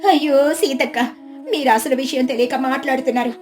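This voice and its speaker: A woman's voice: high-pitched, steady tone, brisk, a little mellow, faint music behind, natural voice. A woman storyteller voicing a clever, theatrical village housewife with an exclamatory, dramatic manner. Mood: feigned grief, warning.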